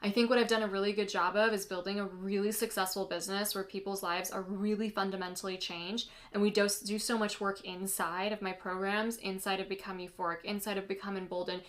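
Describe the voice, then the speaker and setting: A woman's voice: medium pitch, little pitch movement, a little fast, few pauses. A woman from the United States whose first language is Polish, hosting a casual solo podcast as a business coach, chatty and earnest with lots of "like" and "you know".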